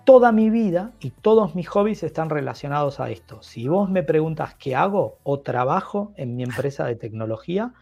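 A man's voice: on the high side, expressive, moderate pace, vocal fry, hardly any pauses, darkish tone, muffled recording, faint music behind. A middle-aged man from Brazil, a Portuguese speaker, talking enthusiastically as an interview guest and often restarting his sentences. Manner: enthusiastic, rambling with frequent restarts. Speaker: podcast guest. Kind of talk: casual interview conversation.

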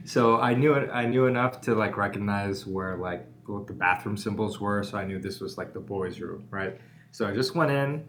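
A man's voice: lowish voice, normal speed, a bit bright, a little hiss or hum. A man co-hosting a casual podcast about lawyering, telling stories in a joking way and laughing often. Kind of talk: casual chat.

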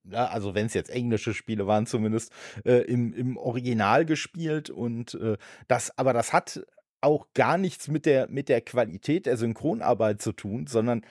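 A man hosting a podcast speaks in a middle-pitched, ordinary voice. He stumbles, restarts his sentences and softly affirms his guest. The recording is dry and close. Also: normal speed, dry recording. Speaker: podcast host. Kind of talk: casual podcast interview.

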